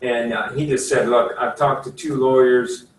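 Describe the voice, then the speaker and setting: A man's voice: medium pitch, fairly even intonation, moderate pace. A man in his sixties, an attorney, talking casually at a career session in a chatty, folksy way, rambling with many fillers. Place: room at a career services office. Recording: echoey room, far from the mic, faint hiss.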